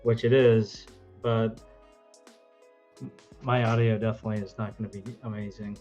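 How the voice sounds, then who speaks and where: A man's voice: lowish voice, little pitch movement, normal speed, a little mellow, lo-fi sound, soft background music. A man co-hosting a casual livestream through a webcam microphone, chatting in slangy, emphatic, sweary talk. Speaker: show co-host. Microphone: webcam built-in microphone.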